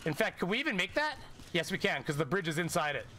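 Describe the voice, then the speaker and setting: A man in his thirties streaming a shooter game from home, calling out directions excitedly and swearing as he plays with a friend. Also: sits high, quick pace, a little hiss or hum. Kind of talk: casual gaming chat. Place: home room, seen on webcam.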